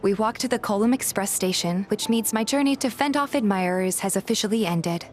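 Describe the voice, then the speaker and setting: A woman's voice: mid-range voice, a little fast, slight background noise. A woman reading a scripted first-person story as narrator and voice actor in a fan dub.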